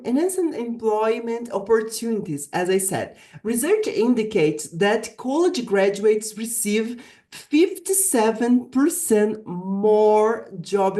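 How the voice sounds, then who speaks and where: A Brazilian woman whose first language is Portuguese speaks as a guest presenter and teacher. Her voice sits at a medium pitch. She delivers a prepared talk earnestly and deliberately, stressing key phrases with a Brazilian accent. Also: dark tone. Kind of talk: prepared virtual summit presentation.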